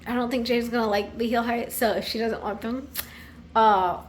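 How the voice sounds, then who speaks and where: Young woman, slightly raspy, higher voice, rambling vlog chat, whispered asides, at home. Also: fairly even intonation, moderate pace, a little hiss or hum. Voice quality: a little raspy. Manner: rambling, thinks aloud with many fillers and whispered asides. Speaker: vlogger. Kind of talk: casual chat to camera.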